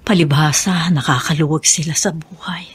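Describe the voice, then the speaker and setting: A woman's voice: sits low, steady tone, moderate pace, breathy edge, faint music behind. A woman playing a middle-aged, long-suffering wife and mother in a radio drama, with an emotional, pleading manner. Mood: cautious, resigned.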